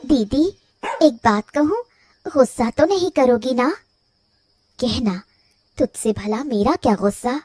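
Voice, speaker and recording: Woman voicing a high-pitched, tender village wife. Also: lively intonation, slow pace, pauses often, a little mellow, no echo, studio quality. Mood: wistful, tender. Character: gentle, affectionate village women of a family household. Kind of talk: Hindi cartoon family drama acting.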